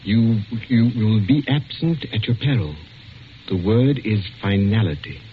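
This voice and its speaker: Dapper secretive criminal, deliberate dry delivery, lowish voice, 1940s radio thriller, tinny vintage recording. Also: male voice, expressive. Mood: grave, musing. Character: smallish dapper quiet man, secretive member of a criminal society. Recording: muffled tinny vintage radio, faint noise.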